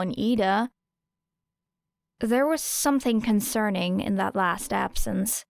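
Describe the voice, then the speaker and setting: A woman's voice: mid-range voice, vocal fry, dry recording. A woman narrating a scripted story as an audiobook reader.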